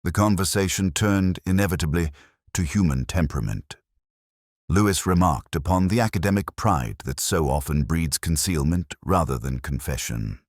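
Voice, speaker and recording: A man narrating a first-person detective story in a measured, literary, contemplative way. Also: extremely low pitch, no echo, studio quality. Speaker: audiobook narrator.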